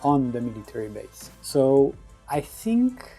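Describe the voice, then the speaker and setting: A man's voice: medium pitch, sing-song, measured pace, dark tone, soft background music, low-quality recording. A man from Romania hosting a vlog, talking casually and at length to viewers, emphatic and repeating his phrases, often checking in with a tag question. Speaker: vlog host. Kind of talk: casual, rambling monologue to viewers.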